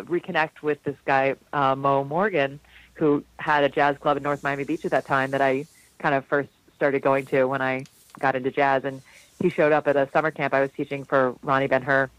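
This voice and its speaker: A man in his forties with a slightly husky voice, pitched a bit higher than most men, tells meandering stories as a guest in a casual interview. He restarts his sentences often. The sound is dry and close, with no room around it. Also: no echo, poor audio. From South Florida, USA. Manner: rambling, warm anecdotes with frequent restarts and laughs. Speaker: jazz singer, guest.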